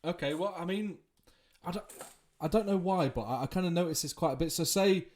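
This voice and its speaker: A man's voice: sits high, a little fast, darkish tone. A man hosting a podcast, putting questions in a casual, conversational and slightly hedging way. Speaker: podcast host. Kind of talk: casual interview talk.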